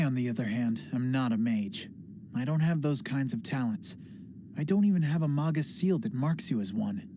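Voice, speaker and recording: A man's voice: medium pitch, slight background noise, close to an everyday voice. Man acting an earnest, idealistic teenage boy. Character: earnest idealistic male high school student. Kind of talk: anime English dub acting. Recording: loud music behind.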